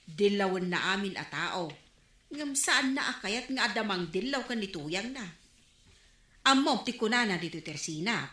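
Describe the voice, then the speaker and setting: A woman's voice: medium pitch, expressive, moderate pace, pushed and bright, echoey room. A woman acting a talkative neighborhood store owner who gossips about neighbors, in a radio drama. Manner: chatty, gossiping.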